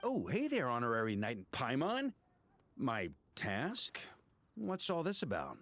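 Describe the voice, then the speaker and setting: A boastful, animated knight grandmaster. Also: male voice, mid-range voice, measured pace, no echo, heavily acted. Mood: breezy, evasive. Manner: theatrical, self-assured storyteller. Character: boastful, easygoing veteran knight grandmaster spinning tall tales. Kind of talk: English video game voice acting. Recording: game music behind the voice. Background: game music.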